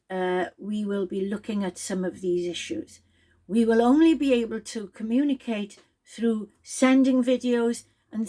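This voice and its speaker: A middle-aged woman from Wales with a Welsh accent, a native Welsh speaker, speaking to camera in English as a project leader in a slow, deliberate and clearly enunciated way. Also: mid-range voice. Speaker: project leader addressing participants. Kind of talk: direct-to-camera address.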